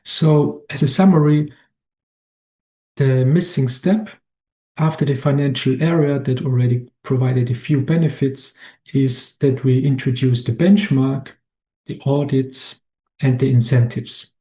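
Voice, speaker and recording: Halting Austrian-born man giving a webinar. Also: medium pitch, steady tone, dark tone. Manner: halting, many restarts and fillers. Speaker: webinar presenter. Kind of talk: slide presentation talk.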